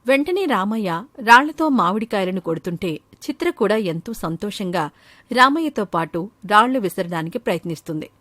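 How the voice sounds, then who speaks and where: A woman's voice: medium pitch, animated, normal speed, a bit bright, dry recording. A woman storyteller reading scripted narration for a children's moral story.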